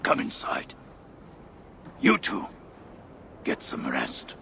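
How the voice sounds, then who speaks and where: An actor playing a boisterous, coarse dwarf chief in a video game, blunt and earthy. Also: male voice, drawn-out words, bright, forceful tone. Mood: gruff, wry. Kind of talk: video game cutscene acting. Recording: faint ambient noise.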